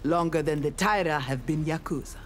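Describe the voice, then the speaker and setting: An actress playing a proud, earnest young armored ninja woman in a video game, speaking in formal, measured, resolute lines. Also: sits low, soft background music, natural voice. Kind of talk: dramatic video game dialogue.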